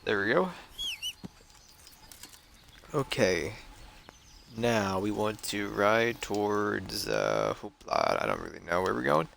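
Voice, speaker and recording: Male streamer, head cold, stuffy and low-pitched, laid-back rambling commentary. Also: big pitch swings, slow, gravelly, long pauses, a bit bright, a little hiss or hum. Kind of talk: casual gameplay commentary.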